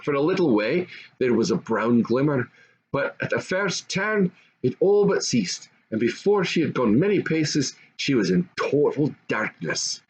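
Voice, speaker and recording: A man's voice: on the high side, a little mellow. An older man reads a children's story aloud expressively, as an amateur storyteller. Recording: dull lo-fi home recording, faint noise.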